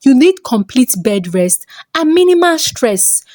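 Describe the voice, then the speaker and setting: A woman's voice: sits high, sing-song, unhurried, a little mellow, no echo, studio quality, pitched above the performer's own voice. A woman with a Nigerian accent voicing a serious, matter-of-fact male doctor in a folktale.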